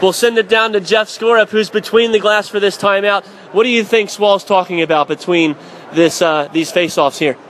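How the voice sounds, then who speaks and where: Male hockey play-by-play announcer, high voice, faint noise behind, rising to shouts on big plays. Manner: rapid, rising to shouts on shots and saves.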